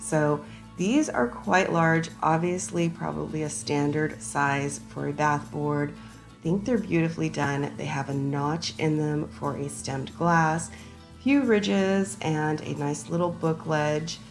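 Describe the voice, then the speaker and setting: A woman narrating a casual shopping vlog, hoarse and raspy from a sinus infection, chatty and enthusiastic as she talks to her viewers. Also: sits low. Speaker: shopping vlogger. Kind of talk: casual shop-with-me voice-over.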